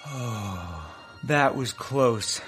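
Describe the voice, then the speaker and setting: An actor plays a hapless male fugitive with a theatrical streak. The delivery swings widely in pitch, in comic audio drama style, with music playing behind. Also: lively intonation, extremely slow, fairly bright tone. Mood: rueful. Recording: music under the dialogue.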